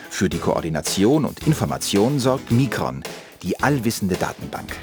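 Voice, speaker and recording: A man reading scripted, dramatic narration introducing a children's radio play. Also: sits low. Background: music.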